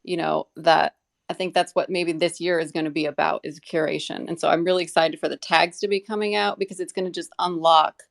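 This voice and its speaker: A woman's voice: low-pitched, steady tone, brisk, creaky. A woman talking in a casual, earnest and rambling way in a live audio discussion.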